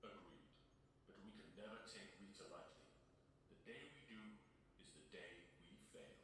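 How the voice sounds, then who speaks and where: A man's voice: sits low, normal speed. A man playing a grave, wise alien mentor in an amateur audio drama. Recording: very echoey, distant, noisy.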